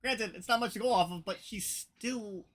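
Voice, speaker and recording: A game streamer giving casual live commentary in an expressive, chatty way. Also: medium pitch, normal speed. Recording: game music behind the voice. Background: video game music.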